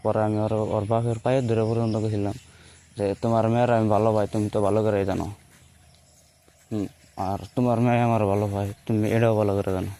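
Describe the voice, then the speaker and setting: A man's voice: lowish voice, flat delivery, quick pace, dark tone. A young man speaking a rural Assam Bengali dialect, casually telling personal stories in a rambling way, circling back to repeat and rephrase himself. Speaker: storyteller recounting his own life.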